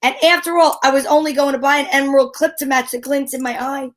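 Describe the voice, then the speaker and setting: A woman's voice: sits high, steady tone, fast talker, lightly acted. A woman playing a wisecracking, confident female private eye who narrates her case in a wry old-time radio style. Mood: dry, storytelling.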